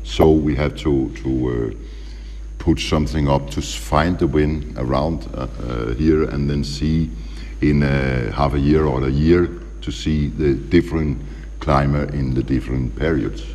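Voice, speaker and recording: A middle-aged man from Denmark with a Danish accent, a studio guest chatting in halting English, hesitant, with many fillers and restarts as he searches for words. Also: rumbling low, darkish tone, big-room sound. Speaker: general manager, studio guest. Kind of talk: casual interview chat in halting English.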